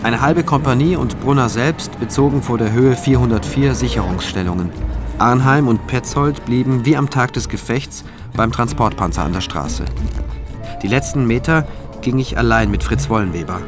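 An actor playing a sober military prosecutor, narrating his recollections in a measured, matter-of-fact radio drama style. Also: mid-range voice, over music, lightly acted. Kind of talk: scripted first-person radio drama narration.

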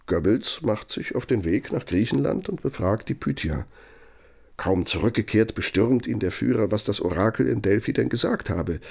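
A deep, husky older man in a radio drama. He is a self-important architect who talks with pompous, insinuating weight and a bitter edge. His low voice crackles with gravel. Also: darkish tone. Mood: sardonic. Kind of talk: German radio play acting, monologue and dialogue.

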